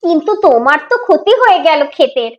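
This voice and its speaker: A woman's voice: high-pitched, big pitch swings, fast talker, dull audio. A woman voicing a fussy but caring village mother in a moral cartoon, homely and exclaiming. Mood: worried. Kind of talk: Bengali moral cartoon dialogue.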